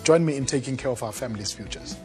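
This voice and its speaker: A man's voice: medium pitch, quick pace. A man reading an advert voice-over, persuasive and emphatic. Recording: music bed under voice.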